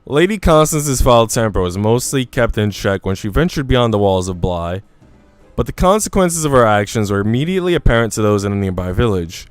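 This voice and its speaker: Smooth-voiced man streaming a game. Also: on the low side, dry recording. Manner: informal slangy talk. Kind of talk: casual livestream chat and reading aloud visual novel text.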